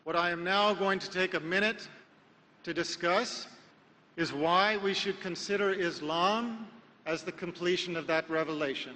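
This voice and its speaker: An American-raised man giving an emphatic sermon lecture, with rhetorical questions and measured pauses. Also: high-pitched, sharp tone, big-room sound. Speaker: Islamic preacher.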